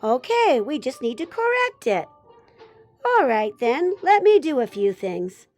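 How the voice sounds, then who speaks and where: A woman's voice: high-pitched, very animated, a little slow, a little mellow, exaggerated voice. Woman as a patient, encouraging little boy teacher. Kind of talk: children's phonics story.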